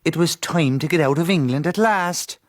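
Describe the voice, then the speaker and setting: A man's voice: fairly high pitch, moderate pace, natural voice. Wry Irish servant telling the tale played by a man. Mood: amused, relieved. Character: wry Irish servant narrator, homesick, plain-spoken. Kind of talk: storytelling narration in radio drama.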